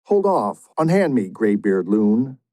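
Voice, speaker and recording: A man's voice: fairly low pitch, sing-song, takes it slowly, mellow, no echo, voice pushed up. A man voicing an impatient young male wedding guest in a dramatic poetry reading, speaking in a raised, pleading tone. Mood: indignant.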